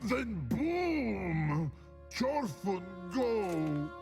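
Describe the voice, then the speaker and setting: An actor voicing a huge, childlike troll in a video game, speaking halting broken sentences in a gravelly voice. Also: male voice, high-pitched, very animated, exaggerated voice. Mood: earnest, muddled. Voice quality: gravelly, creaky. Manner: slow, halting broken speech. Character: huge simple-minded, childlike male troll. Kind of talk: cinematic video game creature acting. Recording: music in the background.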